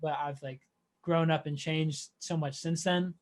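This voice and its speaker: A man's voice: a little high, steady tone, a little slow. A young man interviewing in a casual, hesitant, slangy way. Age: young adult. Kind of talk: casual chat.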